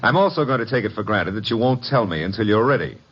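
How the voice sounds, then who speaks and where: Lively, low-voiced wisecracking sleuth. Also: male voice, quick pace, husky. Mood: wry, teasing. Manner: slangy, sardonic, swaggering. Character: breezy, wisecracking, flirtatious male detective's legman. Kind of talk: 1950s radio detective acting. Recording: muffled, noisy vintage radio recording.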